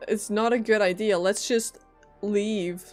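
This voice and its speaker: A woman's voice: medium pitch, fairly even intonation, a little slow, a little mellow, faint music behind. A woman doing casual live gameplay commentary, informal, playful and reactive as a streamer.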